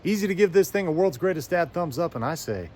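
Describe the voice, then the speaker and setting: A man's voice: a little high, lively intonation, fast, darkish tone, slight background noise. A man giving a casual product review to camera as host, with a folksy, slangy way of talking and playful catchphrases.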